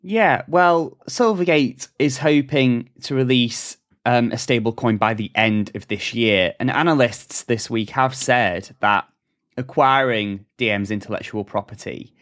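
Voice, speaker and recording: A man's voice: medium pitch, unhurried, a bit bright. A man hosting a podcast, joking wryly in chat and then reading news items in a scripted tone. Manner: wry, joking, shifts into a scripted news read. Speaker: podcast host.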